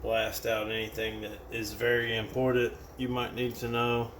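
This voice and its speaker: A man's voice: medium pitch, monotone, normal speed, a bit bright. An American man giving a casual hands-on product demonstration in a plain-spoken, loosely improvised way. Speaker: product reviewer. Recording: roomy, distant mic with background noise.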